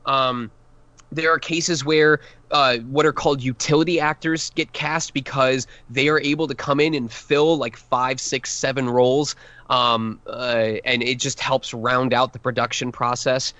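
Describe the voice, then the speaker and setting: A man's voice: medium pitch, fairly even intonation, measured pace, pushed and bright, no echo, lo-fi sound. A man who works as a voice actor, chatting on a podcast, rambling and self-deprecating as he explains casting. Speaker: voice actor guest. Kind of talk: casual podcast chat.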